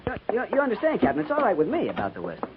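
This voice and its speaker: A man's voice: extremely high pitch, huge pitch swings, rapid-fire, gravelly, background noise, heavily acted. An American man acting an earnest, nosy, flustered junior cavalry lieutenant in a 1950s radio drama, hesitant and stammering. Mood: flustered, incredulous. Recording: dull 1950s radio recording.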